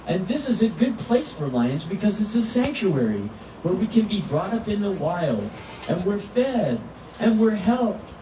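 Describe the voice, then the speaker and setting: A man's voice: high-pitched, mellow. A man voicing a proud, kindly, protective grown male lion in a children's storybook, speaking with assurance. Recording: roomy, distant mic, noisy ambience.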